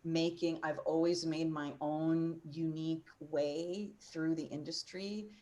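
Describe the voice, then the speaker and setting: A woman in her forties speaks in a low voice with earnest, emphatic passion. She talks as a panelist over a video call. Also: fairly even intonation, slow, warm tone. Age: 40s. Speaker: opera singer and voice teacher on a panel. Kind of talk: panel discussion.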